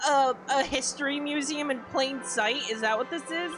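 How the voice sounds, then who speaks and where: A woman's voice: high voice, moderate pace, a bit bright, soft background music. A woman streaming a story game, commenting casually and musing aloud with questions as she plays.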